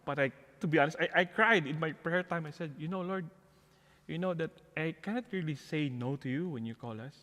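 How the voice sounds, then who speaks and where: A man's voice: a little high, fairly quick, a bit bright. A middle-aged man with a Filipino accent, talking conversationally as an interview guest and telling personal stories. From Philippines. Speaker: guest, parish outreach coordinator. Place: church, before the altar. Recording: long echoey reverb of a large hall.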